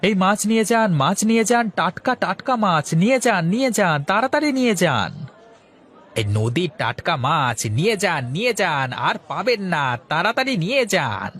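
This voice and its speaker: A man's voice: high-pitched, very animated, brisk, fairly bright tone, exaggerated voice. A man playing a humble, cheerful blind village fish seller in a cartoon, with an eager, simple, folksy manner. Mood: hawking. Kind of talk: cartoon voice acting.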